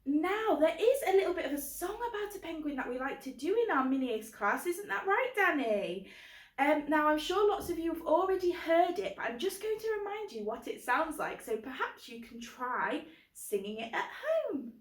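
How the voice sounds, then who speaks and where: A woman's voice: high-pitched, lively intonation, moderate pace. A woman presenting a children's book club in an encouraging way, recorded with a roomy echo. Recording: roomy, echoing sound.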